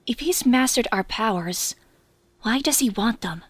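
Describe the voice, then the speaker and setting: A woman's voice: fairly high pitch, expressive, measured pace, husky, dry recording. A woman playing a defiant, angry teenage superhero girl in an audio drama, blunt and confrontational. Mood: suspicious.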